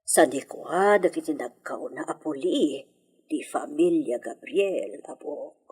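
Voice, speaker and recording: A woman playing an elderly, confiding grandmother in a radio drama, with an Ilocano accent and a breathy, husky, measured delivery. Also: sits low, lively intonation, a little mellow, full character voice. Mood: confiding, grave.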